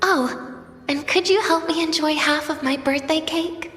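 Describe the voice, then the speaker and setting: An actress playing a wistful young student in a game drama, bitter yet tender, speaking softly and lingeringly, at times slipping into a whisper. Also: female voice, high-pitched. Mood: tender, gentle. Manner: soft, slow, lingering, at times breaking into whispers. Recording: echoey long reverb with music behind.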